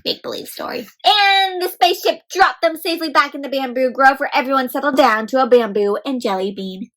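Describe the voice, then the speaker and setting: A woman doing an interactive storybook read-aloud for children, expressive and coaxing young listeners with questions. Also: sits high, a little fast.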